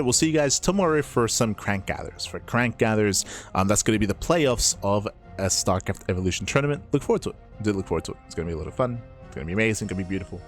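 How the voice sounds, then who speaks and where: A man with a hoarse, raspy voice, an esports caster chatting casually as he signs off a stream, rambling and repeating himself. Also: lowish voice. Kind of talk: casual stream sign-off chat.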